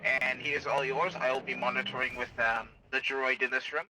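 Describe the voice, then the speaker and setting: A man's voice: medium pitch, flat delivery, fairly quick, noisy background. A man in an improvised online game roleplay, giving procedural orders as a facility guard in a clipped, matter-of-fact way.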